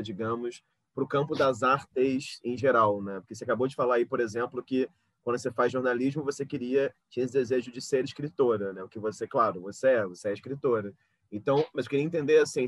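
A man with a Brazilian accent interviewing in a polite, discursive, conversational way, circling around his questions. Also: medium pitch. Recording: roomy, dull lo-fi audio.

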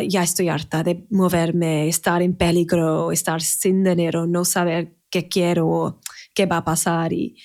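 A woman in her thirties from England, a native English speaker with an English accent, talking casually as a podcast guest and often pausing to search for words. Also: lowish voice, a little mellow. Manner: searching for words, restarts in a second language. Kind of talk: casual conversational interview.

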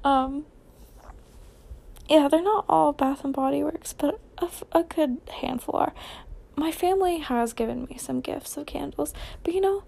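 A woman's voice: sits high, a little slow, gravelly, darkish tone. A young woman with an American accent chatting casually on a solo podcast, rambling informally, questioning and correcting herself as she goes.

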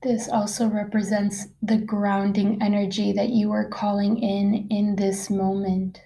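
A woman guiding a meditation, soft-spoken and unhurried, leaving long pauses between soothing phrases. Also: medium pitch, level tone, gravelly, poor audio. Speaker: meditation guide. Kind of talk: guided meditation, slow soothing narration.

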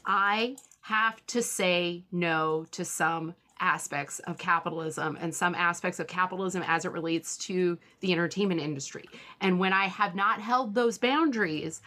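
Woman with a slightly low voice, articulate, informal, candid conversational delivery. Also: pushed and bright. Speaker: comedian. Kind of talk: casual reflective conversation.

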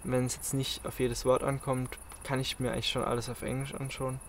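A man's voice: mid-range voice, flat delivery, a little fast, a little hiss or hum. A man in casual podcast chat, speaking hesitantly with frequent restarts. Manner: hesitant, many restarts and fillers. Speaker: podcast co-host.

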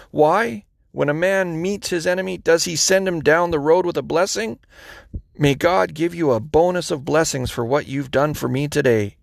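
A man's voice: fairly high pitch, gravelly, dry recording, studio quality, pitched above the performer's own voice. A man reading aloud and voicing a weary, remorseful king in an earnest, pleading way.

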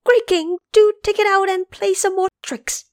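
A woman voicing fawning, excitable old monkey subjects in an audio drama, full of wide-eyed exclamation. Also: extremely high pitch, moderate pace, pitched above the performer's own voice. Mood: awestruck, pleading. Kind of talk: audio drama character acting.

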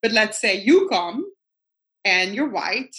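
A woman's voice: medium pitch, lively intonation, slow, fairly bright tone. A woman with a Dutch accent, whose first language is Dutch, speaks as a guest artist in a casual interview over a video call. She thinks aloud in a reflective way and circles back to her points.

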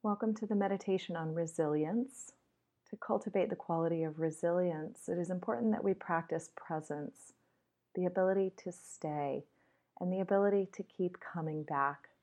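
A woman leading a guided meditation in a measured, instructional way with deliberate pauses, on slightly dull audio. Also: lowish voice, warm tone.

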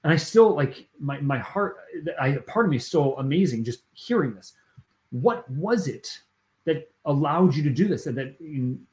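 A man's voice: fairly high pitch, expressive, normal speed, a little mellow. Earnest man host in an echoey room. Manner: earnest, emphatic, often adds "right?". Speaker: podcast host. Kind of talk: conversational faith podcast interview.

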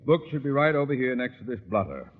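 An actor playing an irritable, pompous middle-aged school principal in an old-time radio comedy, impatient and quick to bluster. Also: male voice, medium pitch, very animated, close to an everyday voice. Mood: gruff, distracted. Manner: pompous, impatient, exploding into outrage. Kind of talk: 1940s-50s radio comedy acting. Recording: muffled, very noisy old radio recording.

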